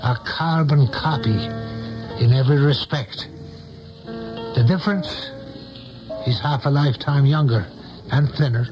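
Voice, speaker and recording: Actor as measured male confidant over music. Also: mid-range voice, steady tone, lightly acted. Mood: concerned, careful. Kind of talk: 1970s radio drama acting. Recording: dull vintage radio recording.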